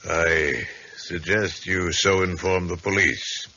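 An actor playing a grave, matter-of-fact town doctor, measured and formal, in a 1950s radio drama. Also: male voice, low voice, fairly even intonation, sharp tone, full character voice. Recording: dull, noisy 1950s radio recording.

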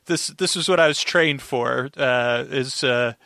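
Bright-voiced man, rambling casually. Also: medium pitch, gravelly, no echo, studio quality. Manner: rambling, halting, stutters over words, circles back. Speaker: podcast guest. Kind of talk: casual conversation, recapping an old radio show.

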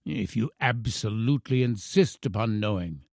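A man voicing a frail, eloquent English professor in his eighties, formal, halting and playfully teasing, in a storybook reading. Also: on the low side, dry recording, clean studio sound. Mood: courtly, solemn. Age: 80s. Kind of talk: storybook character voice.

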